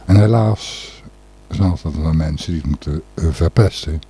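A man's voice: a bass voice, normal speed, dark tone, breathy edge. A man hosting a podcast in rambling, casual solo talk, hesitant and a little distracted. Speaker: podcast host.

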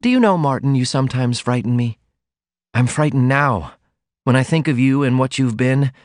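Man playing a tender young woman, raised pitch, hesitant, earnest, audiobook romance, dry sound. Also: normal speed, no room sound, natural voice. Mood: anxious, pleading. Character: shy, tender young woman newly in love. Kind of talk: audiobook character dialogue.

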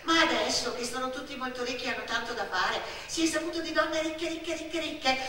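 A woman performing a theatrical narrative monologue, animated and emphatic, building through repetition and lists. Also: high voice, brisk, slight background noise. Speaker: stage actress and storyteller. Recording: very echoey theatre-like space, distant mic.

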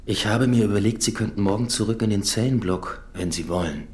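A man's voice: on the low side, a little fast, a little mellow, lightly acted. An actor playing a cool, cagey male prison official who speaks in a coolly insinuating way.

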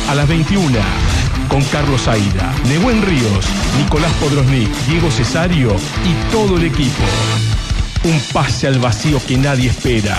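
Man, lively intonation, fairly high voice, sports promo announcer, scripted. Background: loud guitar music.

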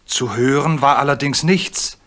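A man narrating a German radio play in an expressive, suspenseful storybook style. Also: medium pitch, unhurried.

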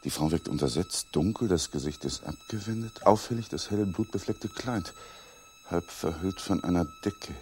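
An actor playing a cold, brooding married lawyer in a radio play, quiet in his inner thoughts and curt in dialogue. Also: on the low side, wildly swooping pitch, normal speed. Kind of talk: German radio drama acting and inner monologue.

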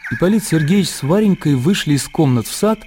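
A man's voice: on the high side, expressive, fast, darkish tone, a little hiss or hum. A man narrating a literary radio drama as scripted storytelling. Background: faint music.

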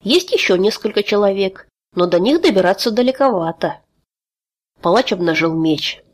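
A woman's voice: medium pitch, expressive, normal speed. Woman narrating a fantasy audiobook. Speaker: audiobook reader.

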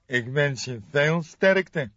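A man playing a brash male police photographer in an audiobook detective story. His voice is raised high and pushed loud, with a sneering, taunting edge. The recording is dry and slightly dull. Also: lively intonation, drawn-out words, no room sound, heavily acted. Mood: concerned.

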